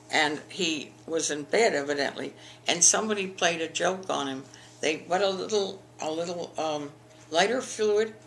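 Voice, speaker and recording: A woman's voice: sits low, gravelly, slight background noise. An older woman from the eastern United States, telling her school memories in an oral history interview, rambling with frequent restarts. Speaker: interviewee, alumna.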